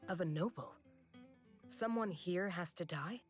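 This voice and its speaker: A woman's voice: lowish voice, a little slow, music in the background, natural voice. A woman playing a dutiful, humble, anxious royal knight in a video game, formal and earnest. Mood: alert, alarmed. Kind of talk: dramatic video game voice acting.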